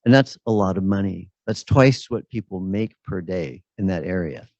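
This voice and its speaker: A man commenting at a symposium, speaking off the cuff in a halting way as he thinks aloud. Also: deep voice, expressive, darkish tone, no echo. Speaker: birth center operator, symposium participant. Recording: dull lo-fi audio.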